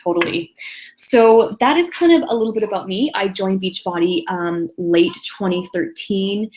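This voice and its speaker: A woman in her late thirties hosting a casual online webinar alone, chatty and self-deprecating, with quick laughs and apologies. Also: medium pitch, a little mellow, poor audio. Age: 30s. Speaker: webinar host. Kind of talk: casual solo webinar presentation.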